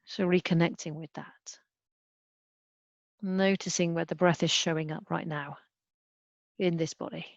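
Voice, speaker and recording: A woman leading a guided body scan meditation as a mindfulness teacher, speaking gently and unhurriedly with long pauses. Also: fairly low pitch, steady tone, vocal fry, dry recording. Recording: dull, muffled lo-fi sound.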